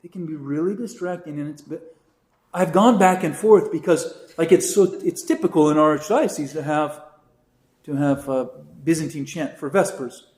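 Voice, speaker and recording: Priest, male, slightly raised pitch, casual teaching tone full of asides, roomy echo. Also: normal speed. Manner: chatty, relaxed teaching with frequent "you know" asides. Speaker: priest teaching a catechism class. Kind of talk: informal class talk.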